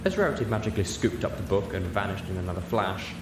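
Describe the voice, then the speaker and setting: A man's voice: low-pitched, lively intonation, fast, noisy background. A man reading scripted fiction aloud as an audiobook narrator. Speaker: audiobook reader.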